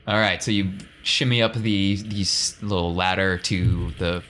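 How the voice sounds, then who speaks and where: A man's voice: low voice, steady tone, a little slow, a bit bright, a little hiss or hum. A man narrating casually and jokingly as game master of a tabletop roleplaying game.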